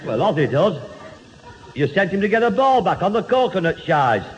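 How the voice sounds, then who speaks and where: A man's voice: sits high, very animated, normal speed. A man playing a gossipy, jovial, henpecked neighbour in an old radio sitcom. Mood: needling. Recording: muffled, noisy old radio recording.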